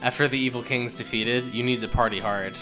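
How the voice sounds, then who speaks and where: A man's voice: mid-range voice, fairly even intonation, a little fast, music in the background. A man co-commentating on a video game in casual, wry banter, questioning and nitpicking as he goes. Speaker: Let's Play co-commentator.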